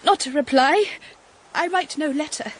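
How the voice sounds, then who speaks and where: An actress playing an earnest, idealistic young Victorian governess in a radio drama, speaking in a measured, heartfelt, literary way. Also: female voice, high-pitched, a little hiss or hum, lightly acted. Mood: shyly earnest. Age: young adult. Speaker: actress and narrator of a period drama. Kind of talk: period radio drama acting and narration.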